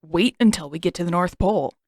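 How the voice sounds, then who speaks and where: A mocking, bossy teenage boy, as a woman reader puts him on in a storybook reading. He talks bluntly and dismissively, set a touch lower and louder than the narration. The recording is dry and close. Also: quick pace, dry recording. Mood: sarcastic. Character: teenage boy, sarcastic, bossy older brother.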